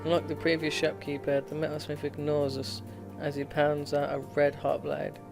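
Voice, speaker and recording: A man with a slightly high voice reads a visual novel aloud as a streamer. He stumbles over the text and slips in casual, joking asides while game music plays clearly behind him. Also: monotone, moderate pace.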